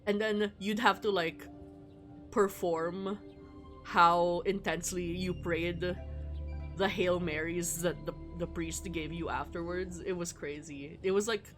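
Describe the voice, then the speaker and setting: Slow-talking woman streamer with wry rambling chat. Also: lowish voice. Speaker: streamer playing a visual novel. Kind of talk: casual livestream chat, reading game text aloud. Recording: music behind the voice. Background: music.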